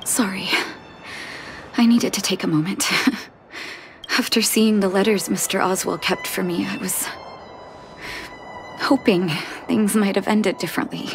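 Slow, soft-spoken woman acting a gentle doctor. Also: medium pitch, fairly even intonation, close to an everyday voice. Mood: sorrowful. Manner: measured, reflective, with sighs. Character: gentle, sorrowful woman doctor grieving her brother. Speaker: video game voice actor. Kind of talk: scripted game dialogue. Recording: game music behind the voice.

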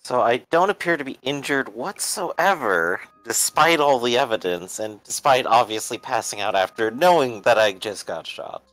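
A man's voice: medium pitch, expressive, moderate pace, few pauses, a bit bright, rough recording. A man playing a tabletop horror game, asking questions and thinking aloud. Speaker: player. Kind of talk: in-character tabletop play.

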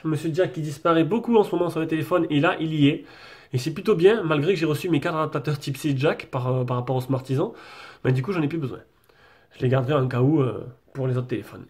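A French man with a cold talks through a stuffed nose as he reviews a phone off camera, his voice pitched a little high. He chats loosely and often stalls on fillers and restarts. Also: a little mellow, husky. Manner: chatty, hesitant, many fillers and restarts. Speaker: tech reviewer. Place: desk setup with a branded backdrop, indoors.

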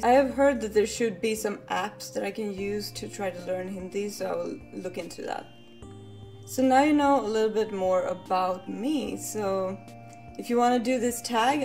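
A young woman talks to a webcam at her desk, soft-spoken and a little hesitant. Her voice sits at an ordinary middle pitch. Faint music plays quietly behind her. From Sweden. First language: Swedish. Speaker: vlogger. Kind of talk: casual talk to camera. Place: living room indoors.

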